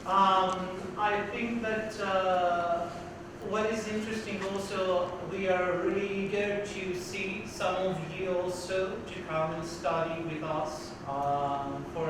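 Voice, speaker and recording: Male lecturer, high pitch, hesitant and loud, far-off mic, noisy reverberant hall. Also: fairly even intonation, takes it slowly. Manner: hesitant, many uhs and restarts; projects loudly for the room. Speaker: visiting university professor. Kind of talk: lecture to students. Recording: very echoey hall, distant, noisy.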